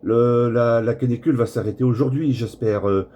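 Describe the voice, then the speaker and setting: A man chatting casually as an amateur radio operator, talking in a rambling, hesitant, friendly-paced French radio-contact style with a husky voice. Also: mid-range voice, fairly even intonation, moderate pace, mellow.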